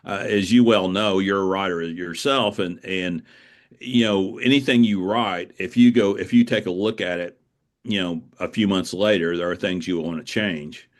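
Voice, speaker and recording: A middle-aged man speaking casually as an interview guest, explaining things in a halting way and repeating words. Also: sits low, fairly even intonation. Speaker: guest, author.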